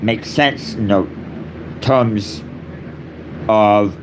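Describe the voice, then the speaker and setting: A man delivering a solemn, improvised personal address in short, repetitive phrases with long pauses between them. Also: fairly low pitch, noisy background. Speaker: speaker giving a personal address.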